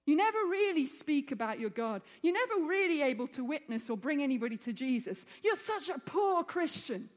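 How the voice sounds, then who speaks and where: High-voiced woman preaching in a hall. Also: very animated, brisk, few pauses. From Egypt. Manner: earnest, rising to fervent emphasis. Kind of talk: sermon. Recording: long reverb of a large hall.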